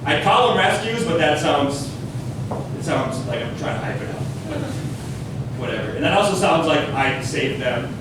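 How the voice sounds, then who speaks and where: A man's voice: on the high side, animated, normal speed, background noise. A young man from Minnesota with a Minnesotan accent, performing a self-deprecating stand-up comedy set with crowd work. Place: comedy club stage. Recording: echoey club audio from a distance.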